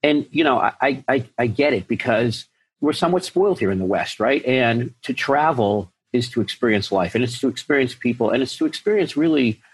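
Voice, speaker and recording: A man's voice: mid-range voice, animated, fairly quick, husky, poor audio. A man hosting an interview podcast, enthusiastic, often repeating words as he starts a sentence. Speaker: podcast host.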